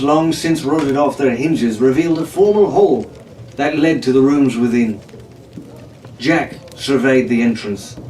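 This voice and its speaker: A man's voice: medium pitch, a little mellow. A man reading a pirate adventure story aloud as a dramatic storyteller. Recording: echoey room, distant mic, faint noise.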